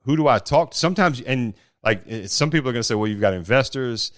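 A man with a big, deep voice, talking bluntly about running a startup in a casual podcast conversation. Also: lively intonation, quick pace, dry recording, studio quality. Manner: blunt and forceful, with mild swearing. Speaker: startup founder.

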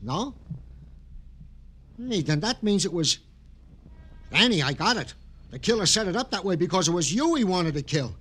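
An actor playing a devoted, fussing police sergeant sidekick in an old radio crime drama, eager and colloquial. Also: male voice, sits high, sing-song, normal speed, pauses often, fairly bright tone, full character voice. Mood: excited, urgent. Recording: noisy old radio broadcast.

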